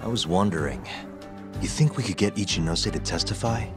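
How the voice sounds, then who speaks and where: A man's voice: deep voice, expressive, fairly quick. An actor playing a wry, dogged investigator in his thirties in a video game, talking casually. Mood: scheming. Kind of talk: video game cutscene acting. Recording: music behind the voice.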